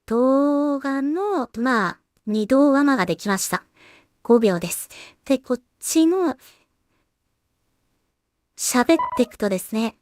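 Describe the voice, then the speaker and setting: A woman giving casual, musing commentary as she edits, half talking to herself in unhurried asides. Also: high voice, animated, a little mellow, no echo, studio quality. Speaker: solo creator and voice actor.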